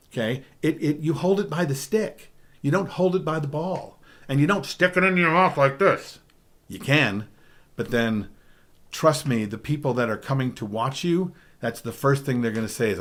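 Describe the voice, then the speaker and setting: A middle-aged man with a baritone voice giving a DJ training talk, emphatic, often checking in with "okay?". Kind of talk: spoken presentation.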